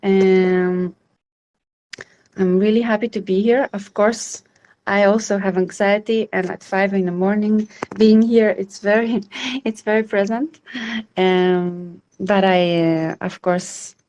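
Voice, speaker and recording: A woman's voice: mid-range voice, steady tone, slow pace, a little mellow. A woman in her thirties from Israel, hosting an online support group in a welcoming, reassuring way over a video call. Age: 30s.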